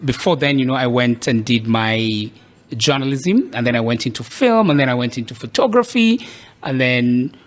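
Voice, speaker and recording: A man's voice: mid-range voice, lively intonation, moderate pace. Kenyan man telling his story thoughtfully. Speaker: podcast guest. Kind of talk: casual interview storytelling.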